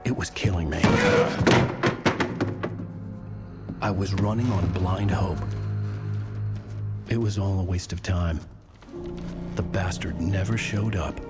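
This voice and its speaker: A man's voice: low voice, unhurried, long pauses, loud music behind the voice. A man playing a desperate thriller writer hunting for his kidnapped wife, brooding and weary, in a scripted video game performance. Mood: brooding, bleak. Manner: brooding, weary first-person narration. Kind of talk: scripted game narration and acted dialogue.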